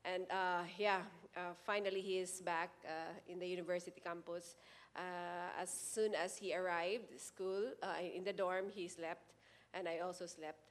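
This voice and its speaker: A woman in her forties sharing a personal testimony at a church service into a handheld mic, earnest and halting, often restarting her words. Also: on the low side, steady tone. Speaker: church member giving testimony.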